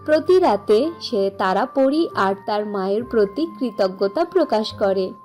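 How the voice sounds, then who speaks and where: A woman's voice: mid-range voice, lively intonation, unhurried, darkish tone, soft background music. A woman reading scripted fairy-tale narration as the storyteller.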